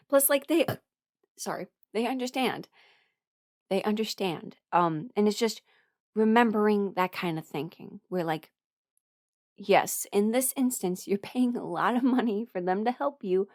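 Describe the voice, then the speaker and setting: A woman's voice: lowish voice, no echo. Woman rambling casually, close dry sound. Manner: informal, halting and self-correcting, chuckles while talking, mimics others in dialogue. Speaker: host, voice performer giving a personal ramble. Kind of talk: casual personal ramble, confiding advice.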